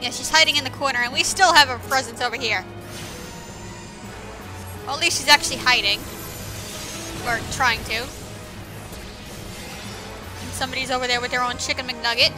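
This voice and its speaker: A woman's voice: sits high, fairly even intonation, normal speed, pauses often. A woman giving casual video game commentary as host, with sarcastic asides, over music. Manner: sarcastic, mildly profane asides.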